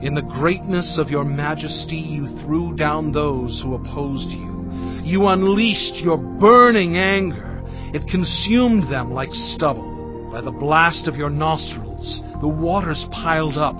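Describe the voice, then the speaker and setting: Moses, higher voice, very slow, exalted declaiming, muffled sound. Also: male voice. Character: Moses, devout male leader singing praise. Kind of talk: dramatized scripture recitation. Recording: muffled, with music underneath.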